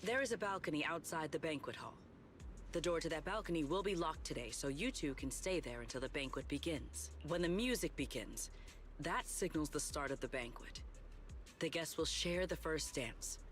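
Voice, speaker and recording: An actor playing a formal, dutiful and courteous swordmaster in an anime game, with deliberate wording. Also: medium pitch, a bit bright, natural voice. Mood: solemn. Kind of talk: scripted anime game acting. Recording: game music behind the voice.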